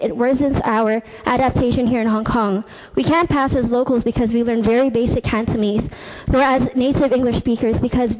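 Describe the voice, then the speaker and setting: A woman's voice: on the high side, a little mellow, a little hiss or hum, poor audio. A young woman, a university student, reading out earnest, critical testimony at a committee hearing through a desk microphone. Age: young adult. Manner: earnest, critical, read-out delivery. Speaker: student deputation speaker. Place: legislative committee meeting room.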